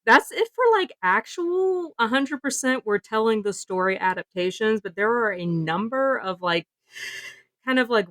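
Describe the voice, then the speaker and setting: A woman's voice: medium pitch, fairly bright tone, no room sound. Giggly, informal woman podcast co-host. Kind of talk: casual podcast discussion.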